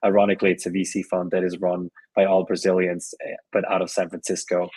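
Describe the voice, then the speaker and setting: A man's voice: low voice, completely flat delivery, quick pace, darkish tone, husky, poor audio. A middle-aged man being interviewed on a podcast, speaking in an easygoing conversational way with frequent restarts and repeated words. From Switzerland and Colombia. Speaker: podcast guest, venture investor.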